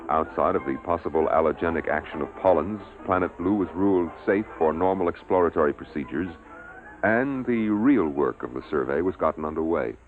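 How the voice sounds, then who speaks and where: A man acting as a solemn narrator in a 1950s radio drama, giving a measured, formal, official account of a space survey. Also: low voice, animated. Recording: muffled old radio recording. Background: music under the voice.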